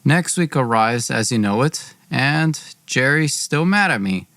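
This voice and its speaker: A man's voice: medium pitch, animated, unhurried, a bit bright, no room sound, clean studio sound. Guy hosting a story podcast, emphatic and kinda aggrieved, telling his own drama. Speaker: podcast host. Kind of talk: dramatic personal storytelling with acted dialogue.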